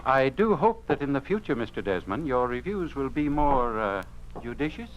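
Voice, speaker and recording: An actor playing a smug, cold communist censor in a 1950s radio drama, pompous and formal with polite menace. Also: male voice, mid-range voice, big pitch swings, normal speed, slight background noise, close to an everyday voice. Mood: smoothly condescending. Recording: muffled old radio recording.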